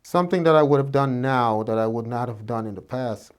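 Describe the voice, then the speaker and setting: Guyanese-born doctor, reflective storyteller. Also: male voice, mid-range voice, brisk. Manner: reflective, frequent uh fillers and restarts. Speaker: doctor interviewed by students. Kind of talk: relaxed personal storytelling.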